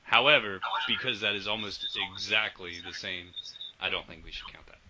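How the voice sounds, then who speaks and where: A man hosting a casual podcast, enthusiastic and swearing often, trailing off quietly at the ends of sentences. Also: on the low side, normal speed, very noisy. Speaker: podcast host. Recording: dull lo-fi audio.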